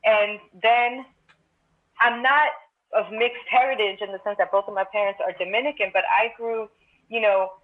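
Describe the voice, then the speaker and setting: A woman from Brooklyn talks as a podcast guest, thinking out loud in a reflective way with many restarts. Her mid-pitched voice comes through a thin, phone-like remote call line. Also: fairly even intonation, moderate pace. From Brooklyn, New York, United States. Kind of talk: casual podcast conversation.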